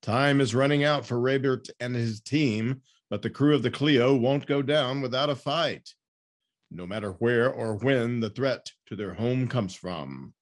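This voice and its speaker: A man's voice: medium pitch, unhurried. A man working as a podcast host, reading announcements and hosting an author interview.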